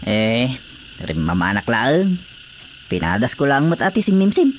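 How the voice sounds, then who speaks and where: A woman's voice: rumbling low, very animated, a little slow, heavily acted. A woman with an Ilocano accent playing a stubborn, defensive, ailing drinker in a radio drama. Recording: faint background noise.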